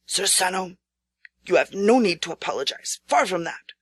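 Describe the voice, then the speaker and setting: A woman's voice: lowish voice, normal speed, a bit bright, husky, no echo, studio quality. A woman voicing a commanding yet kindly male regent in a storybook, with a stately, reassuring manner. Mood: solemn, kind. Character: commanding, magnanimous old regent lord, male.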